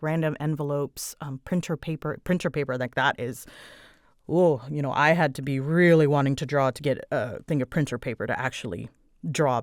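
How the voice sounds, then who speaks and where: A woman's voice: deep voice, dry recording, clean studio sound. A woman creator answering audience questions in a casual, rambling chat, candid and often stopping to rephrase herself. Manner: halting, self-interrupting, candid.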